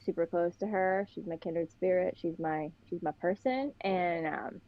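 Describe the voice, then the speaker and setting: A young woman with a Pittsburgh accent, a podcast guest chatting and telling stories in a lively way. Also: lowish voice, moderate pace. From Pittsburgh, Western Pennsylvania. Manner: chatty, animated storytelling. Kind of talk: casual chat. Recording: slightly dull, lo-fi sound.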